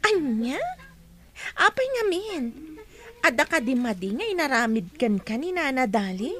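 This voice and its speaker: A reasonable young classmate in a radio drama, played by a woman. She speaks in a high voice, coaxing and gently correcting her friend. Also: sing-song, unhurried, heavily acted. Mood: concerned. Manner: coaxing, reproving. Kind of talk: radio drama acting in Ilocano.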